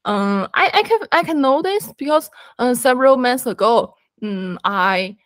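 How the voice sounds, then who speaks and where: A woman with a Chinese accent speaking English in a casual conversation, halting with many ums and restarts. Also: on the high side, expressive, a bit bright, no echo. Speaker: guest learner.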